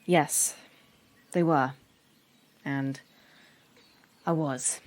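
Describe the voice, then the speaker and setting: A woman's voice: sits low, steady tone, very slow, darkish tone, no echo. A woman playing a sentient computer network with a human past, earnest and dryly wry, in a sci-fi horror audio drama. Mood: wounded, bitter.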